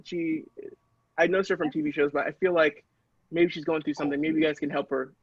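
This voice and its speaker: A man's voice: on the high side, fairly even intonation, a little fast, breathy edge, no echo, dull audio. A man playing a nervous, star-struck gas station clerk in improvised comedy, meek, hesitant and rambling.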